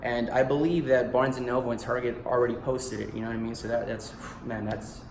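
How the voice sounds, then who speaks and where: A man's voice: mid-range voice, level tone, fairly quick, vocal fry, darkish tone, slight background noise. A man in his thirties, an actor and author whose first language was Spanish, talking in a casual, eager vlog style with plenty of "like" and "you know.". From United States. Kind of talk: casual self-promo vlog. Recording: roomy echo in a small room.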